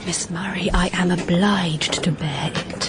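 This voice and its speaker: An actress playing a reserved, earnest young Victorian governess with a proper, restrained manner in a period audio drama. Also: female voice, on the low side, steady tone, a little slow, background noise, natural voice. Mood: restrained reproach.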